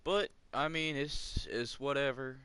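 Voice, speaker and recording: A man's voice: mid-range voice, steady tone, a little slow, sharp tone, clear, resonant tone. A man of twenty chatting casually while playing a video game, ranting and complaining with chuckles between remarks. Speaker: gamer. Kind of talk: casual rambling chat over gameplay.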